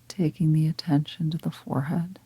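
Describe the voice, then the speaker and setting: A woman leading a guided meditation in a calm, gentle, breathy half-whisper, unhurried and with long pauses. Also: deep voice, no echo. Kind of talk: guided meditation, slow soft-spoken instruction.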